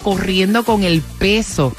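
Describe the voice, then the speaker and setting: A woman's voice: mid-range voice, measured pace. A woman hosting a morning radio call-in show, chatting exuberantly and affectionately with callers. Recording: radio studio with music bed. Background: music.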